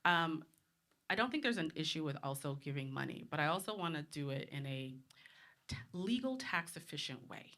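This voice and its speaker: A woman's voice: deep voice, animated, moderate pace, a bit bright. A woman in her thirties from the Bahamas, a panelist speaking bluntly and confidently in a live discussion.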